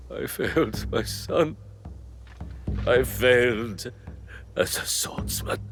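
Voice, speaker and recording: An actor playing an aging male sword master, weary and regretful, speaking in a hushed, gravelly voice. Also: lowish voice, full character voice. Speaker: game character. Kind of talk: video game cutscene acting. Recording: game audio with loud score.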